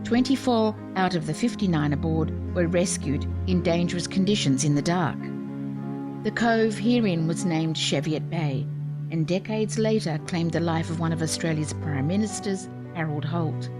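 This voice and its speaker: A woman giving clear, even, scripted narration for a series of historical radio plays. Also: lowish voice, normal speed. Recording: music playing behind. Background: music.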